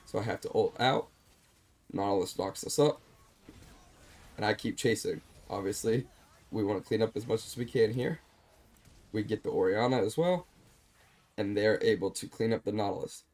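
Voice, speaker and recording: Mellow-voiced man, stuffy, calmly explaining. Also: fairly low pitch, moderate pace, pauses often. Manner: calm, explanatory, self-deprecating. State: slightly stuffed up. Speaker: streamer reviewing his own game. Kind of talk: gameplay commentary over a replay.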